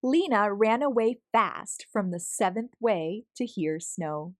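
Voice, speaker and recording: A woman reads a picture book aloud for children. Her delivery is expressive and deliberate, with a very lively melody and an unhurried pace. The sound is dry and close. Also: medium pitch, no room sound. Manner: animated, deliberate storytelling with acted sound words. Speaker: storybook read-aloud host.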